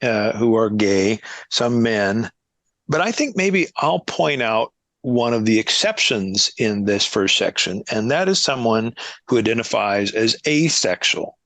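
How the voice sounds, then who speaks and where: A man's voice: fairly low pitch, very animated, unhurried, a bit bright, no room sound. A man in his fifties, a guest in a conversational interview, speaking thoughtfully and candidly. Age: 50s. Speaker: guest, book co-editor.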